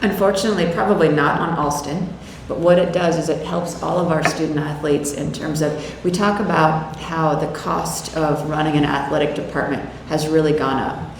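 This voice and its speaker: A woman's voice: low voice, fairly even intonation, moderate pace, few pauses, distant mic. A woman speaking as an athletic director, answering press conference questions in an informal, conversational way. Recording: very echoey room with faint noise.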